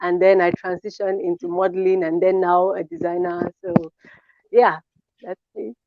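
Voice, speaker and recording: A woman's voice: lowish voice, normal speed, vocal fry, no echo. Cameroonian lady, casual guest intro, dry but dull call audio. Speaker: guest fashion designer.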